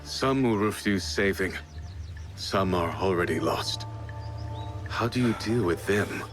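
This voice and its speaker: A man playing a weary, grave veteran warrior and leader in a video game, with terse, deliberate phrasing. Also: low-pitched, over music. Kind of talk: cinematic video game acting.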